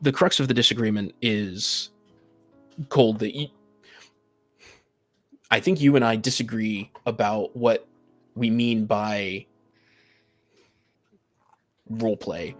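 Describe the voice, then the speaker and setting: A man on a podcast panel in casual debate, reasoning in halting, repeated phrases. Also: fairly low pitch, steady tone, fairly bright tone, no echo, soft background music.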